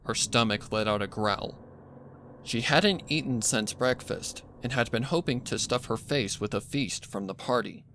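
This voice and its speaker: A man's voice: medium pitch, moderate pace, pushed and bright, a little hiss or hum. A man reading a scripted horror story as narrator, with music playing behind him. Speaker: fan fiction narrator.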